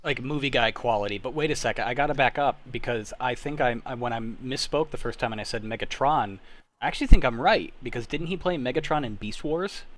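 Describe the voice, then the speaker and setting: A man's voice: medium pitch, fairly quick, a bit bright. A man, around his thirties, chatting and joking casually on a podcast with a wry, sweary manner. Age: millennial, around 30s. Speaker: podcast panelist.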